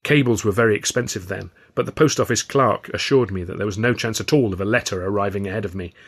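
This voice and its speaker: Male audiobook narrator, low and creaky, for scripted first-person storytelling. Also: quick pace. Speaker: author narrating his own novel.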